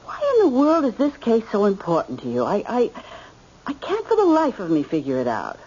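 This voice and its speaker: A woman's voice: fairly high pitch, wildly swooping pitch, a little fast, dark tone, husky, heavily acted. An actress playing a middle-aged American mother, exasperated, chiding and placating, in an old-time radio drama. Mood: placating, baffled. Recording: tinny, phone-like old radio recording.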